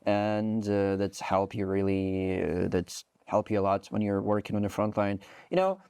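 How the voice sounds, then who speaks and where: A young man in his twenties with a Ukrainian accent, talking English as an interview guest. His voice is dark and mellow, recorded dry and close, and he rambles along with plenty of "like" fillers and restarts. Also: fairly low pitch, normal speed, vocal fry, clear, resonant tone, no echo. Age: 20s. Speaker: soldier guest. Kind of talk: interview conversation.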